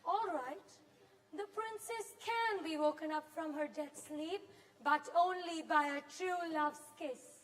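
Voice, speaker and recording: Vengeful sorceress for a school stage play young woman acting, very high pitch, deliberate delivery, long hall reverb. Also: a bit bright, exaggerated voice. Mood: cold command. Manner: slow, grandly deliberate, mockingly polite. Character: proud, vengeful female dark fairy sorceress, mocking and menacing. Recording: noisy school hall, long echo, distant.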